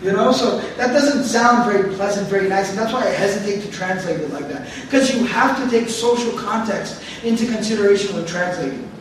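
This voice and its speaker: High-voiced male teacher in an echoey room. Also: brisk, hardly any pauses, distant mic, a little hiss or hum. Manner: repetitive, explains word by word, digressive. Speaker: Quran teacher. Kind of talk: explanatory lecture.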